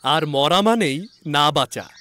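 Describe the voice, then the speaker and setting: A man's voice: on the high side, animated, slow, sharp tone, no echo, exaggerated voice. A man voicing a sceptical young male doctor in an audio story, blunt and emphatic. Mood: dismissive.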